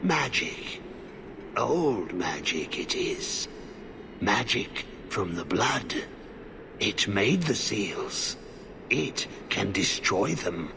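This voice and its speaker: An actor playing a half-mad, blighted old warden in a video game, muttering in halting, repetitive fragments. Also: male voice, fairly low pitch, very animated, creaky, background noise, full character voice. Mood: cryptic. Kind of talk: dramatic video game acting.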